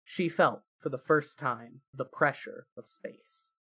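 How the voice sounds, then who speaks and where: A narrator reading scripted fan fiction as a dramatic story, with deliberate pauses. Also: medium pitch, sharp tone, husky.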